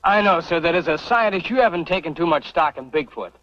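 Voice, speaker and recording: An earnest young frontier suitor in a radio western. The voice is high and swings widely, folksy and protective. It comes through a muffled old recording. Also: male voice, lively intonation, fairly quick, fairly bright tone. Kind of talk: old-time western radio drama acting.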